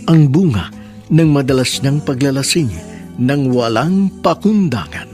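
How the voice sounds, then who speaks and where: A man narrating a radio drama and reading its credits in a solemn, measured way. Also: medium pitch, music in the background.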